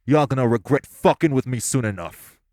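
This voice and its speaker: A man's voice: mid-range voice, mellow, natural voice. A man voicing a volatile, foul-mouthed male gangster boss, in an audio roleplay. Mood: angry. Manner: foul-mouthed, defiant, quick to anger.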